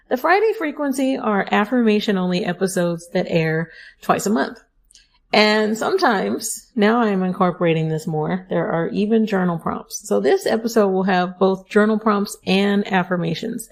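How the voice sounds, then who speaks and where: A woman's voice: medium pitch, darkish tone. Chatty woman podcast host, slightly scratchy. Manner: chatty, upbeat, self-correcting asides. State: scratchy voice from allergies. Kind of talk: affirmation podcast monologue.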